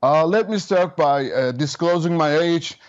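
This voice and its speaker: Fifty-something man, muffled remote line. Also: fairly high pitch. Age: 50s. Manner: measured, official, many hesitations. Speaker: government special representative. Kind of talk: remote interview guest.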